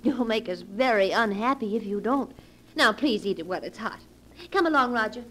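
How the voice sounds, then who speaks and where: A high-voiced young woman plays the dutiful niece. She is polite, coaxing and firmly insistent in a 1940s radio mystery manner. Faint vintage broadcast noise sits behind her. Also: expressive, fairly quick, fairly bright tone. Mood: coaxing, concerned. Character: solicitous, firm niece minding her elderly aunts.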